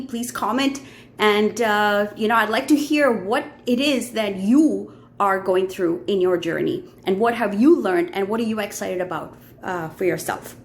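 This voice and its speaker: A woman with an Indian accent talking earnestly in a personal vlog, with frequent fillers and restarts. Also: on the high side. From Middle East. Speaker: vlogger.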